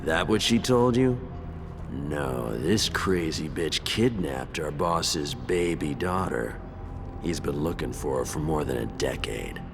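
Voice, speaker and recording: An actor playing a cocky, threatening mercenary squad leader in a video game, taunting and tough-talking. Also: male voice, extremely low pitch, lively intonation, takes it slowly, vocal fry, over music, heavily acted. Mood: smug menace.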